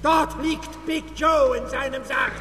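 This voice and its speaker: An actor playing a fiery male preacher at a funeral, booming out a drawn-out, accusing sermon in a radio drama. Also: steady tone, exaggerated voice. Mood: preaching. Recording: echoey hall with loud music.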